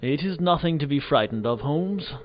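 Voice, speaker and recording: A man's voice: fairly high pitch, expressive, moderate pace, dull audio, close to an everyday voice. A man playing an indignant, self-important Victorian doctor in an audio drama, pompous and theatrical in formal phrasing. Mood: condescending. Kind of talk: audio drama acting and dramatic first-person narration.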